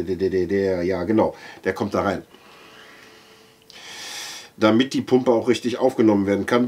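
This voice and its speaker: A middle-aged man with a husky voice, giving a casual, halting product demonstration as he handles the parts. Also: mid-range voice. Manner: halting, thinking aloud while handling parts. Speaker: aquarium product reviewer. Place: indoor room with large aquarium.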